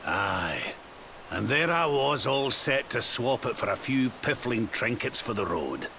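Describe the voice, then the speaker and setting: An actor playing a kindly, fatherly old gentleman adventurer with a Scottish accent in a video game. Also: mid-range voice, sing-song, unhurried, a little hiss or hum, full character voice. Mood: warm, purposeful. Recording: music behind the voice.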